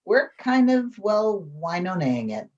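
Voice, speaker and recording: A woman as a lo-fi, animated chorus pedant. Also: medium pitch, takes it slowly. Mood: pedantic, awkward. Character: pedantic, hesitant female Greek chorus member. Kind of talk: amateur musical table read. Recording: dull lo-fi sound.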